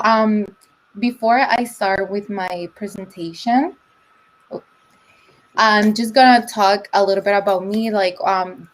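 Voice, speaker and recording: A woman's voice: medium pitch, poor audio. Young woman, English, casual presenter with a chatty, filler-heavy delivery, video-call audio. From El Salvador. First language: Spanish. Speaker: conference presenter.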